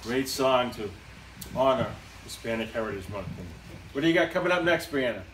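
A middle-aged man hosts with an upbeat, joking announcer's manner and a lively, rising and falling voice. He is heard from across a small echoey room through a handheld mic. Steady noise sits behind him. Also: medium pitch, moderate pace. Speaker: host. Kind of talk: casual show hosting. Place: small room with brick walls and blinds, indoors. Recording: echoey room, noisy, from a far camera.

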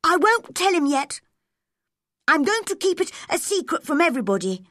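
Woman acting a storybook young boy, very high pitch, earnest, excitable, fervent, hopeful, swooping intonation. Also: normal speed, no echo, studio quality, heavily acted. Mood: determined, eager.

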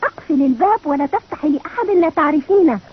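An actress playing a kind, resourceful youngster who looks after friends in a dubbed cartoon. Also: female voice, sits high, expressive, racing. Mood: caring. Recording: muffled old cartoon dub.

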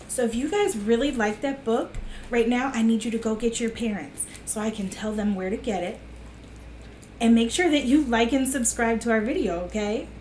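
Middle-aged woman, slightly high voice, children's storytime host, roomy sound, faint noise. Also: darkish tone. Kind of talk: reading a picture book aloud to children. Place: small living room on a sofa, indoors. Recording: echoey room with faint hiss.

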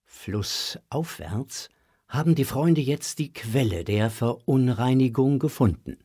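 A man's voice: mid-range voice, expressive, slow, mellow, slightly rough. A man narrating a children's audio drama in a dramatic storytelling manner.

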